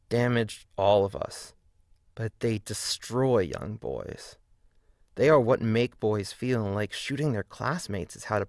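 A man reading scripted video essay narration in an earnest, measured way with deliberate emphasis. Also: on the low side, fairly bright tone, no room sound, studio quality.

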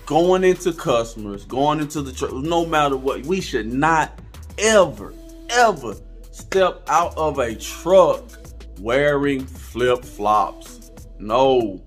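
A man's voice: on the high side, a bit bright. A man in his thirties, a vlogger and truck driver, giving an emphatic, preachy direct-to-camera rant in a small room. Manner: emphatic, preachy, repeats phrases for effect. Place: small indoor room with kitchen cabinets.

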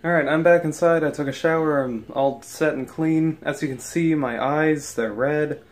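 A man's voice: a little high, fairly even intonation, normal speed, slight background noise. A young man vlogging his day to a camera held at arm's length, stuffed up from allergies, rambling with many ums and restarts. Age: young adult. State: congested from allergies. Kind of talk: casual daily vlog. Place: indoors in a house, also outdoors by the house. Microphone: handheld camera at arm's length.

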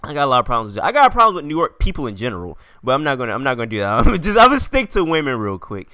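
Lively, bright-voiced man from Florida. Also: mid-range voice, very fast, dry recording, clean studio sound. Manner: loose, joking, profane. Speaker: host. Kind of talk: casual video-call chat. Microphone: phone on a video call.

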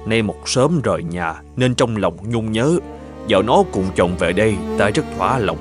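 A man voicing a low-voiced genial father-in-law. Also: animated, moderate pace, lightly acted. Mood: reassuring. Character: genial middle-aged father-in-law, reassuring and insistent. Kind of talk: animated folktale dubbing. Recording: clear music under the voice.

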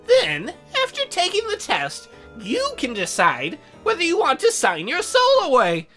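A man voicing a teasing young female demon dealmaker in a coy, formal, measured way for a game. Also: very high voice, wildly swooping pitch, bright, forceful tone, soft background music, pitched above the performer's own voice, heavily acted. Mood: coy, businesslike.

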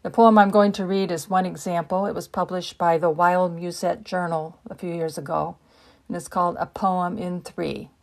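An American woman speaks as a poet presenting her own work. Her voice is slightly low, and she reads from prepared words. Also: fairly even intonation, normal speed, creaky. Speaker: poet and writer. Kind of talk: prepared introduction and poetry reading.

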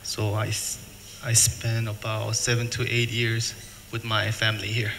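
A man's voice: on the low side, completely flat delivery, bright, forceful tone. Man presenting in a reverberant hall. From United States. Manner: plain halting English, repeats words. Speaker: seminar presenter. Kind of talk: conference presentation. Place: hotel function room. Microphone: table mic, filmed from afar. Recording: reverberant hall, faint noise.

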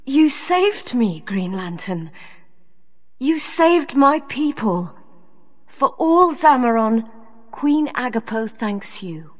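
A woman voicing a grateful, solemn alien queen in a video game, speaking slowly and formally. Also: a little high, sing-song. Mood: solemn gratitude. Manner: slow, formal, ceremonious. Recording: long cavernous reverb.

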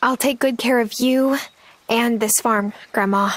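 Young female game lead: earnest, plain-spoken, eager, high-pitched. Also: steady tone, measured pace, fairly bright tone, no room sound. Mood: determined. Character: young woman, earnest, eager granddaughter new to farming. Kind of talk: scripted video game acting.

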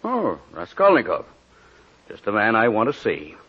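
An actor playing a genial, shrewd police inspector, hearty and flattering, in old-time radio drama style. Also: male voice, fairly low pitch, moderate pace, slightly rough, exaggerated voice. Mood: affable, probing. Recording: dull old radio recording.

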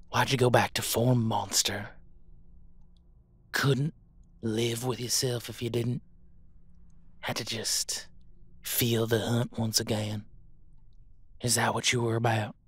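A vengeful hunter played by a man taunts his prey in a slow drawl. The voice is husky and menacing, dry and close, in the manner of an audio drama. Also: medium pitch, no room sound. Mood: accusing. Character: vengeful male monster hunter, taunting, grief-driven drawler. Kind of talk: audio roleplay acting.

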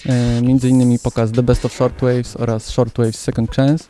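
Mellow man giving festival information. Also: medium pitch, little pitch movement, normal speed. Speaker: festival organiser. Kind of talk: informational promotional talk.

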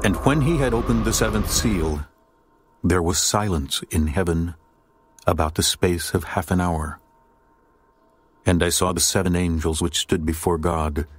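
A man narrating a dramatized scripture reading in a steady, grave voice. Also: fairly low pitch. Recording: narration over music.

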